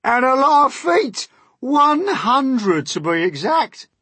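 Loud, high-pitched boastful centipede. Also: male voice, big pitch swings, unhurried, slightly rough, no room sound, full character voice. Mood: proud, boastful. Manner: proud, exclaiming, teasing. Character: boastful, cheeky male centipede, loud and brash.

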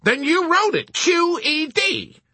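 A man's voice: extremely high pitch, very animated, sharp tone, dry recording, voice pushed up, exaggerated voice. A man voicing a sarcastic, superior army colonel who taunts his prisoner. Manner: scornful, taunting, drawn-out sarcasm.

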